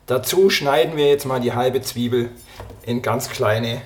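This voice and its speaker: Casual podcast host, mid-pitched man, a bit hoarse from a cold, home kitchen with clear clatter of pans. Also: unhurried, a little hiss or hum. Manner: relaxed, talks while chopping and cooking. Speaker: podcast host cooking a recipe. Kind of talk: casual cooking podcast chat. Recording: kitchen clatter and clicks behind. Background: dishes, pots and pans clattering.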